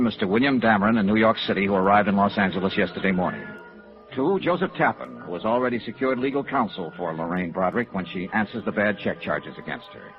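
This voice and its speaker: An actor playing a terse, hardboiled yet compassionate American insurance investigator in 1950s radio noir, with clipped dramatic delivery. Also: male voice, fairly low pitch. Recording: dull vintage radio recording with music.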